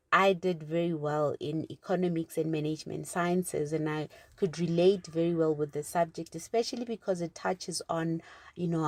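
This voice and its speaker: A young woman with a South African accent whose first language is Sepedi speaks in a low, steady voice. She answers interview questions about her career earnestly and thoughtfully, with frequent small fillers as she reflects. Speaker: internal auditor.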